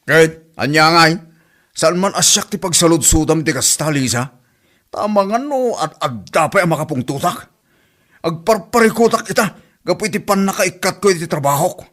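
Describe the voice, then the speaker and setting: A man's voice: on the high side, expressive, normal speed, a bit bright. A man with an Ilocano Filipino accent playing an exasperated, grumbling working husband in a radio comedy drama.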